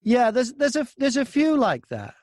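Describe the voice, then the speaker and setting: A British man talking casually in an interview as an audiobook narrator, telling stories in an animated way. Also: very high-pitched, moderate pace, no room sound, clean studio sound.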